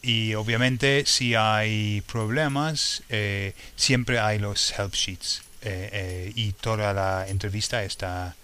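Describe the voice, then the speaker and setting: Slow, low-voiced man hosting a podcast. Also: steady tone, bright, forceful tone, clear, resonant tone. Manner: hesitant, with many fillers and encouraging interjections. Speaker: podcast host and interviewer. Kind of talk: podcast presenting and interviewing.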